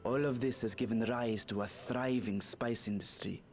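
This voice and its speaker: A serene, courtly dragon king from a fantasy video game played by a man speaks with measured formality and benevolence. Soft music plays behind the voice. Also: mid-range voice, steady tone, natural voice. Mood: courtly, informative. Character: serene male dragon ruler in a humanlike vessel, courtly and benevolent. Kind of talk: scripted video game dialogue.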